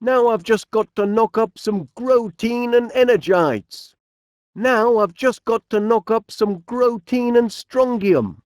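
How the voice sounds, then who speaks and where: A man's voice: very high voice, slow, darkish tone, no echo, studio quality. A man playing a cheery, eccentric middle-aged inventor in a cartoon video game, with a Northern English accent and a dotty, genial, musing manner. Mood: cheerful, chatty.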